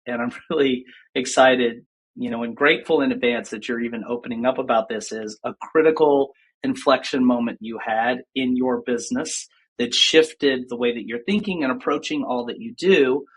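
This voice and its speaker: A man's voice: medium pitch, moderate pace, slightly rough, low-quality recording. A man hosting an interview podcast over a video call, upbeat and enthusiastic. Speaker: podcast host.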